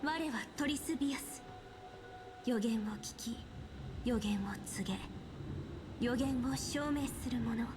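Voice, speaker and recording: Woman acting solemn saintly prophetess, high voice. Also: normal speed, long pauses, noisy background, lightly acted. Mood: solemn vow. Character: solemn, resolute young female saint and prophet. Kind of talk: Japanese video game voice acting. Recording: game music under the voice.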